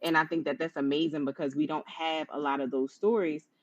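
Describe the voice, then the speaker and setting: A woman with a low-pitched voice hosting a conversational interview in an encouraging, affirming manner. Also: fairly even intonation, brisk, no room sound. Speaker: interview host. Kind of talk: conversational live-streamed interview. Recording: dull lo-fi online stream audio.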